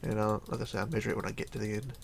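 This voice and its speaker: A man's voice: fairly low pitch, fairly even intonation, rapid-fire, vocal fry, slightly rough. A middle-aged man with a cold talks through a casual game build tutorial at his home computer desk, chatting and counting blocks aloud, often mumbling as he goes. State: hoarse with a cold. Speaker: tutorial host.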